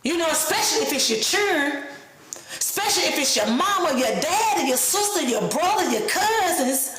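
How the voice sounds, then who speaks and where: A woman in her late thirties with a high voice delivers a blunt, preachy rant full of street talk and profanity. Her voice rings in an echoey room. A faint hum runs underneath. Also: fairly bright tone. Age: 30s. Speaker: vlogger. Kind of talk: unscripted personal rant.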